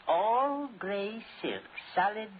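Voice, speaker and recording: An eerie old casket salesman in a vintage radio horror play. He is folksy, halting and insistent, with a lively, swooping delivery and polite chuckles. The sound is thin and hissy, like an old broadcast. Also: high-pitched, full character voice. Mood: eager sales pitch. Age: older. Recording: tinny, noisy old radio broadcast recording.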